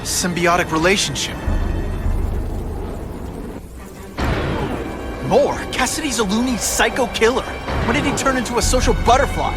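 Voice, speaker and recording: An actor playing a young, wisecracking superhero in a video game, earnest and defiant. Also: male voice, sits high, lively intonation, brisk. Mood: incredulous, urgent. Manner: quippy, earnest. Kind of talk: video game hero acting. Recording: loud music behind the voice.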